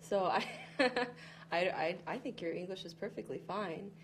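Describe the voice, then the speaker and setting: A woman's voice: medium pitch, moderate pace, slight background noise, poor audio. A woman interviewing a guest in a casual chat, friendly, often laughing and giving short backchannels.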